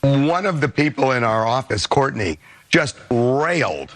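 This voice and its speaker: A man's voice: mid-range voice, lively intonation, a little slow. A man hosting a talk show, chatting in an opinionated, conversational way while losing his voice. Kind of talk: casual newsroom chat.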